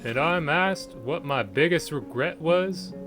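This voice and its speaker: A man's voice: a little high, lively intonation, slow, loud music behind the voice. A man in casual chat, reacting with amusement as a co-host.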